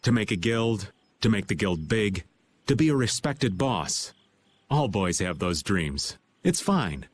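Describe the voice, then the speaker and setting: A man's voice: fairly low pitch, sing-song, a little slow, slight background noise. A man voicing a playful, world-weary older man in a video game dub, with a teasing, theatrical, laid-back manner. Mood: teasing, kindly.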